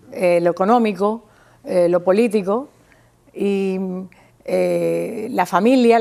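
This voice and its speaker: A woman from Cuba with a Cuban accent gives an informal, didactic talk, pausing and restarting and often checking with her listener. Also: medium pitch, a little mellow. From small town in Cuba. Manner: didactic, halting, checks with listener using tag questions. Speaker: guest speaker on Cuban theatre history.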